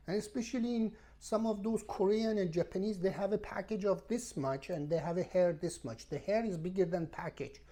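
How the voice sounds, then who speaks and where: A woman's voice: mid-range voice, a little fast, few pauses, dark tone. A woman who is a non-native English speaker, ranting casually to camera as a travel vlogger in an emphatic, repetitive, exasperated way. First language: not English. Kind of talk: casual rambling rant to camera.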